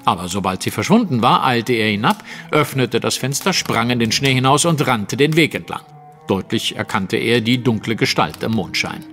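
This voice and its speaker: An actor playing a confident, analytical detective in a German audio drama, setting out a case in articulate, precise, dramatic storytelling. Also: male voice, medium pitch, a bit bright. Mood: absorbed, dramatic. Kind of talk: German audio drama acting, narrative monologue.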